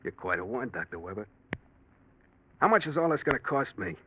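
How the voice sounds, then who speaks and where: An actor playing a tough, streetwise gangster in a 1940s radio crime drama, husky, blunt and slangy. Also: male voice, mid-range voice, big pitch swings, a little fast, slight background noise, natural voice. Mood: wary, grumbling. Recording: muffled vintage radio drama recording.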